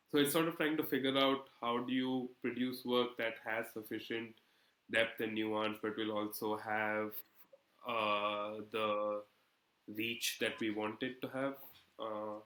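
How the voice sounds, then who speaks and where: A man's voice: on the low side, fairly bright tone. Man speaking thoughtfully in an echoey room. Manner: measured, hesitant, thoughtful phrasing with hedges. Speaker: magazine politics editor being interviewed. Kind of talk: conversational interview answers.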